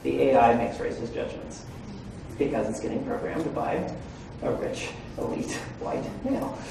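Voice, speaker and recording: A man's voice: mid-range voice, normal speed, vocal fry, background noise. A man in his forties presenting to a room of legal professionals, often checking in with "right?" and "okay?". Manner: frequent "right?" and "okay?" check-ins with listeners. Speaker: presenter at a legal training seminar. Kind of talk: conversational lecture to an audience. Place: large room with an audience. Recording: echoey room, far from the mic.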